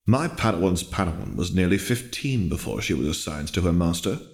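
A man reading the part of a world-weary, wry and resolute Jedi in his thirties for a storybook, in a measured, dry manner. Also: deep voice, lively intonation, vocal fry, darkish tone, slightly rough, no echo, heavily acted. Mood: angry, tired.

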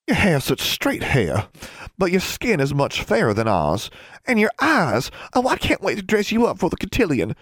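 A man acts out a bubbly older teenage girl in a storybook, pitched well up from his own voice. She gushes with affection and teasing, her tone swooping about in effusive delight. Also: huge pitch swings, normal speed, a bit bright, breathy edge, no room sound, full character voice. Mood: excited, gushing. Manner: effusive, cooing, sing-song.

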